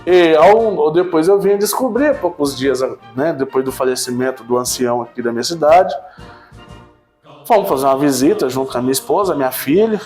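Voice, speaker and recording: A man in his thirties giving a casual personal church testimony, animated at times and subdued at others, often tagging his sentences with a questioning "né". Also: fairly high pitch, a little fast, soft background music. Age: 30s. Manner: animated storytelling, frequent "né?" tags, swings between excited and subdued.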